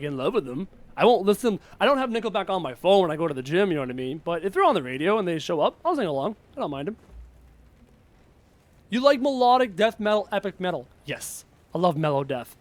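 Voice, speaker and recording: A young Canadian man with a slight cough chats casually during a live gaming stream, reading out viewer questions and getting frustrated with the game. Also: high voice. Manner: chatty, reads viewer questions aloud, frustrated outbursts at the game. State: sick with a cough. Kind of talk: casual live-stream chat while playing.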